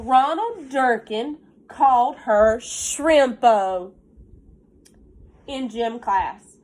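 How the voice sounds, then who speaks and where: A woman with a fairly high voice reads a picture book to young pupils at a very slow pace. She stretches and stresses key phrases like a teacher. She talks to the children directly in simple, encouraging words. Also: slight background noise. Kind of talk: animated picture-book read-aloud with warm asides to children.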